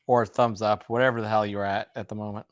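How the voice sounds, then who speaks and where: A man co-hosting a livestream with casual, jokey banter, his voice raspy and hoarse from talking all day. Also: fairly low pitch, no room sound, studio quality. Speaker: livestream co-host.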